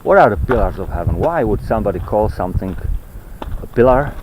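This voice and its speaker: A man who is not a native English speaker, rambling haltingly as he vlogs on a walk, searching for words and repeating himself. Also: on the low side, big pitch swings. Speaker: vlogger. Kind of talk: rambling walk-and-talk monologue on ancient texts. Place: outdoors on a mountain trail. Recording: noisy outdoor handheld recording with clicks.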